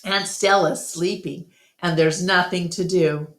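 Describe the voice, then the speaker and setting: A woman's voice: fairly low pitch, fairly even intonation, measured pace, a bit bright. A woman voicing an eager, talkative young female elephant who tells stories in an earnest, childlike way. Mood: pleading. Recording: roomy, echoing room sound.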